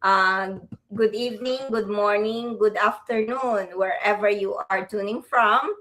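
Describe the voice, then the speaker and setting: A woman from the Philippines co-hosting a live stream, speaking with many pauses and fillers. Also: medium pitch, steady tone, fairly bright tone, poor audio. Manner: hesitant, many fillers and restarts. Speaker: co-host. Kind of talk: casual live-stream chat.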